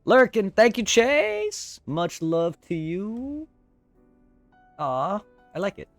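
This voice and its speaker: A man's voice: sits high, wildly swooping pitch, slow, no echo, faint music behind. A man streaming live, chatting casually with viewers, thanking them and swearing freely.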